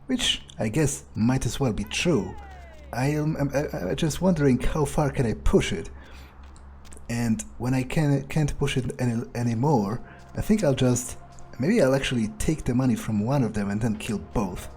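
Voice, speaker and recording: A man with a husky voice improvising in-character commentary on a game, casual and sweary as he thinks aloud. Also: medium pitch, normal speed, darkish tone, soft background music. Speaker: gamer playing in character.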